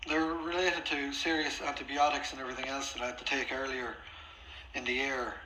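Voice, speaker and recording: Man, insistent complainant on a call; very bright, forceful voice, echoey room. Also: mid-range voice, flat delivery, brisk. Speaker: patient on a phone call. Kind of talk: phone conversation, arguing his case. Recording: echoey, faintly noisy room, recorded phone call.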